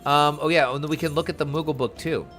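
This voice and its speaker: A man's voice: a little high, fairly even intonation, fast talker, a bit bright. A man chatting casually and enthusiastically as he streams a game, with slangy, informal talk. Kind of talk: casual chat while playing a game. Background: video game music.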